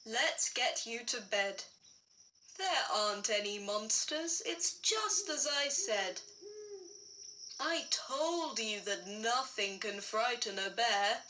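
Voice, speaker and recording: A woman voicing a calm, confident father bear, dropping her voice to sound reassuring in a storybook. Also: slow pace, slight background noise. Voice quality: lowered, reassuring.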